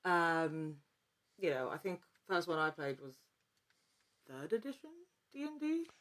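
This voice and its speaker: A woman in her thirties talking casually as an interview guest, with a halting, informal, self-aware manner. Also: sits low, very animated, fairly bright tone. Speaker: podcast guest, actor and writer.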